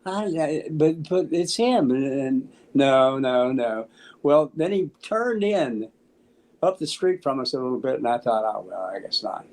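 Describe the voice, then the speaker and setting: An American man in his seventies casually telling anecdotes in an animated, halting way, acting out the dialogue. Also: medium pitch. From Memphis, United States. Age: 70s. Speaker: interview guest, musician. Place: small room at home, on a video call.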